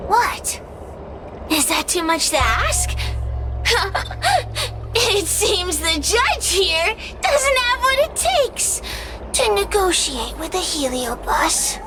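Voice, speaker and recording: A woman's voice: very high-pitched, very animated, music in the background, exaggerated voice. A woman playing a haughty, malevolent captive spirit in a video game, mocking and theatrical in manner. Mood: taunting. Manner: taunting, theatrical, slow and drawn out. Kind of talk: video game character acting.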